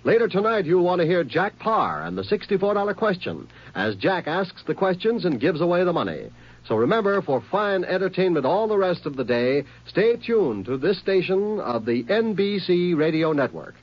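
Lively 1950s radio network announcer, man. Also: high-pitched, normal speed. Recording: muffled 1950s radio recording.

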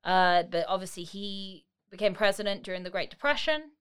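A woman's voice: medium pitch, expressive, moderate pace, a bit bright, clean tone. A woman co-hosting a podcast, retelling history in a casual, wry and informal way. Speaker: podcast co-host.

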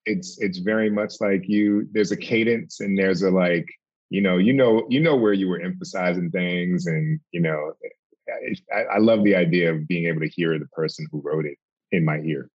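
Deep, husky man hosting with stammers. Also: fairly even intonation, normal speed, a little mellow, dry recording, highs cut off. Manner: stammering, repeats words. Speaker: podcast host. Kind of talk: casual interview chat.

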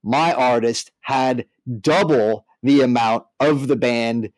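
A man talks as a podcast co-host in an opinionated, explanatory way. His voice sits at an average pitch, and the sound is dry and close. He speaks conversationally, checking that the listener follows. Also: slow, no echo, rough recording. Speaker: podcast co-host and band manager. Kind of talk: casual music industry discussion.

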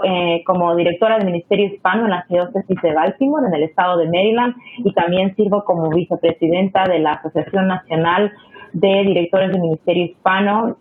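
A woman with a Salvadoran accent, a ministry director, speaking in a measured, earnest way on a video call. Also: lowish voice. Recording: tinny phone-like line.

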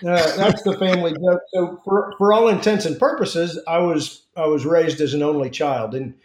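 Slightly high-voiced man in an echoey room. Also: normal speed, darkish tone, a little hiss or hum. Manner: easygoing, self-deprecating humor, many fillers. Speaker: podcast guest, former Marine aviator. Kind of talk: conversational interview answers.

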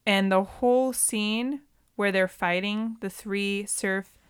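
A woman's voice: medium pitch, fairly even intonation, slow pace, smooth, dry recording, studio quality. A woman co-hosting a movie podcast, chatting casually and informally as she recaps a film. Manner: informal, conversational.

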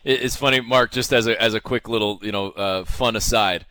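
A man's voice: lowish voice, brisk, pushed and bright, no echo. Chatty Canadian man hosting a sports show, close and dry. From Toronto area, Canada. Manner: chatty, rambling, colloquial asides. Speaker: sports podcast host. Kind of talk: casual sports interview.

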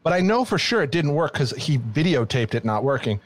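A man's voice: fairly high pitch, very animated, fairly quick. A man co-hosting a podcast in casual chat, rambling and self-deprecating as he tells stories. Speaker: podcast co-host. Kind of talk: casual banter and storytelling.

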